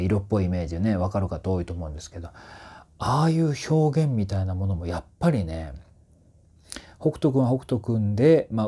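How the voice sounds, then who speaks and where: A man in his forties giving casual, explanatory commentary to camera as a voice trainer, in a slightly husky voice. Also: low-pitched. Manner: animated, often sings short phrases to demonstrate. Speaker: voice trainer reviewing singers. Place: small indoor room with curtains.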